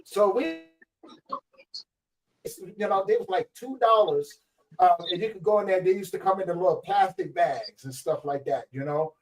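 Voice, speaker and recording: A man in his fifties from Virginia, telling stories in a relaxed way with lots of fillers. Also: high voice, normal speed, soft background music. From Virginia, USA. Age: 50s. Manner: laid-back, loose storytelling with many fillers. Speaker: podcast guest. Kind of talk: casual chat. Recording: distant mic with faint noise.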